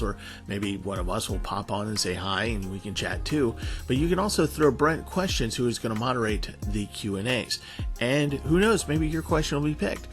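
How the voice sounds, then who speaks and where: A man's voice: mid-range voice, a bit bright. Guy doing a chatty promo vlog, kinda rambly, trips on words, bit of music behind him. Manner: informal, stumbling with repeated words. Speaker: online convention panelist and show co-host.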